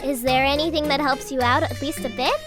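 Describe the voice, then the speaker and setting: A woman's voice: high-pitched, steady tone, fairly quick, music in the background, natural voice. A woman playing a perky, upbeat young herbalist girl in a fan audio drama, earnest and encouraging. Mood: cheerful, encouraging.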